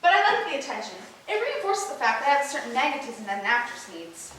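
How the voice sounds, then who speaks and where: A woman's voice: sits high, very animated, fast talker. A woman playing a vain, ditzy young actress with bubbly, emphatic chatter in a stage play rehearsal. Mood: self-satisfied, chatty. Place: echoey indoor rehearsal room. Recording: very echoey room, distant mic, faint noise.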